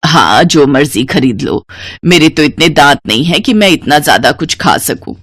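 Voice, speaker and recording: An elderly mother-in-law from a family storybook drama, played by a woman. Her voice sits well below the performer's usual pitch. She chides and grumbles in a blunt, reproachful way, recorded dry. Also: animated, moderate pace, fairly bright tone, slightly rough, no room sound, exaggerated voice. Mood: grumbling. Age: older.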